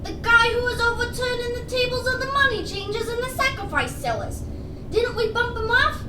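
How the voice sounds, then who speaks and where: Comic radio henchman: extremely high, goofy, dim but eager, servile mobster slang; noisy recording. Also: moderate pace. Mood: puzzled, eager. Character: dim-witted, eager gangster henchman, squeaky, apologetic. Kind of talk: comic radio theater acting. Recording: roomy, echoing, clearly noisy recording.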